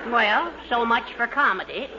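An actress playing a bossy, persuasive schemer with theatrical, emphatic delivery in a 1940s radio sitcom. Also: female voice, on the high side, expressive, normal speed, over a noisy crowd. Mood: scheming, persuasive. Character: bossy, scheming partner with grand showmanship. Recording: dull, noisy 1940s radio recording.

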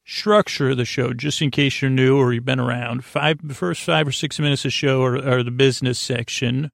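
Creaky-voiced man, meandering bedtime talk. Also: medium pitch, fairly even intonation, no echo, studio quality. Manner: meandering, halting, stammering, trailing off into tangents; soothing, lulling, goofy. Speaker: podcast host. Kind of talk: rambling bedtime monologue to help listeners sleep.

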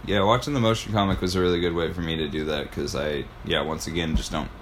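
A man's voice: deep voice, steady tone, quick pace, background noise. A man hosting a casual podcast, talking in a rambling, enthusiastic, sweary way. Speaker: podcast host.

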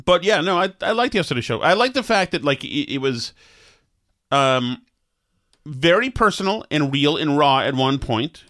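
Animated, joking male podcast host. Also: on the high side, moderate pace, no room sound, clean studio sound. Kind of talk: casual chat.

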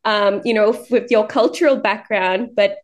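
A woman's voice: medium pitch, steady tone, moderate pace. A woman from Sri Lanka living in Australia, hosting a podcast in a chatty, effusive, conversational way. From born in Sri Lanka, moved to Australia at eighteen. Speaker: podcast host. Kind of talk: conversational interview, anecdotal chat.

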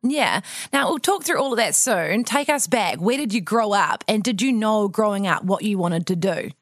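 A woman's voice: medium pitch, expressive, moderate pace, dry recording, clean studio sound. A woman hosting an interview podcast, introducing the show and putting questions to a guest. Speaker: podcast host.

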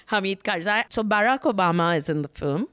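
A woman with an Indian English accent, co-hosting a casual film chat, talking fast and laughing often with sarcastic asides. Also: mid-range voice, lively intonation, fairly bright tone, smooth, dry recording, studio quality. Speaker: co-host reviewing films.

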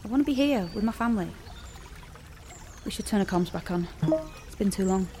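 A woman's voice: medium pitch, animated, brisk, darkish tone, faint music behind, close to an everyday voice. An actress playing a guarded, sarcastic teenage girl in a naturalistic dystopian audio drama. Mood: sarcastic, reluctant.

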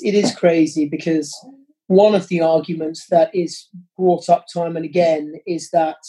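A man answering interview questions with passionate, quick-flowing arguments. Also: fairly high pitch, warm tone, rough recording. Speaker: interview guest, parent.

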